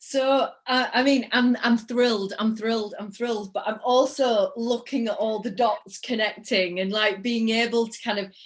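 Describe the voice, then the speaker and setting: A woman's voice: a little high, steady tone, moderate pace, few pauses. A woman from Yorkshire with a Yorkshire accent, hosting a podcast interview in an effusive, casual way and repeating words for emphasis. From England, Yorkshire. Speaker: podcast host.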